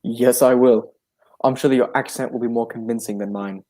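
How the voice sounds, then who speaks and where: Man acting a polite, inquisitive gentleman sidekick, mid-pitched. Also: fairly even intonation, normal speed, lightly acted. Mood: agreeable. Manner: polite, mildly questioning. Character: loyal, polite, inquisitive gentleman companion to a detective. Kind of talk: 1940s radio drama acting.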